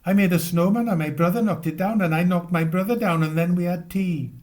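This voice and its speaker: A man voicing an eager, excitable young boy in a story, with a simple boyish delivery. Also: high-pitched, fairly quick, voice pushed up, natural voice. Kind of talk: storybook character voice.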